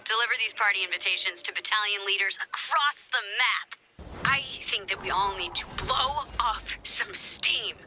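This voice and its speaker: A woman's voice: medium pitch, normal speed, pushed and bright, a little hiss or hum, low-quality recording. A woman voicing a spirited, earnest and hopeful resistance leader in a video game, heartfelt and informal. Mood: earnest, rallying. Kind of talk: scripted game character dialogue.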